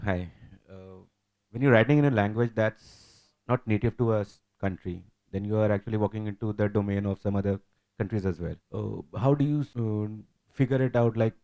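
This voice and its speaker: A man asking a question from the audience in a halting way, on a muffled microphone. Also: fairly low pitch, dry recording.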